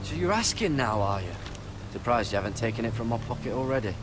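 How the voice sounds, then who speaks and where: A man's voice: mid-range voice, animated, fast talker, natural voice. An actor playing a wary, earnest young male traveller in a dramatic fantasy video game. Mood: dry. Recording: game audio under clear noise.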